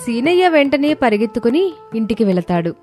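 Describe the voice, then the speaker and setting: A woman's voice: fairly high pitch, sing-song, fairly quick, darkish tone. A woman storyteller giving a scripted narration of a children's moral tale. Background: faint music.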